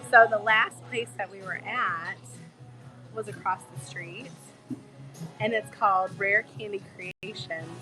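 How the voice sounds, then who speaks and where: Hoarse, chatty woman vlogging in a bar. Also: mid-range voice, low-quality recording. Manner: bubbly, chatty, gushing. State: hoarse from a cold. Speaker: travel vlogger. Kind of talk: casual live-stream chat with viewers. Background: music playing in the bar.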